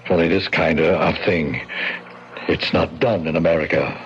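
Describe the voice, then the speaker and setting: An actor playing a timid, loyal friend in an old radio drama, hesitant, apologetic and pleading. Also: male voice, low-pitched, fairly even intonation, moderate pace, husky. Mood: alarmed, reluctant. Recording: dull, noisy old radio broadcast.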